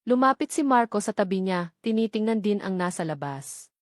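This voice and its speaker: A woman audiobook narrator reading a scripted dramatic story in measured, short phrases. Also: medium pitch, lively intonation, no echo, studio quality.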